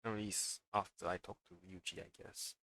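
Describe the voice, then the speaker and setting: A man's voice: deep voice, flat delivery, moderate pace, bright, forceful tone, no echo. A man streaming a game, giving casual commentary and muttering half to himself.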